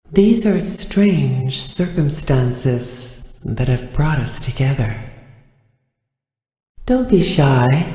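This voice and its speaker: An actor voicing a creepy, coaxing intruder creature, unsettlingly calm, for a video game. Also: medium pitch, echoey room, long reverb tail. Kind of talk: video game character lines.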